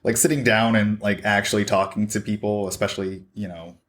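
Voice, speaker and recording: A man's voice: lowish voice, steady tone, a little fast. A man guesting on a podcast, speaking bluntly with dry humor. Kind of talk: casual chat.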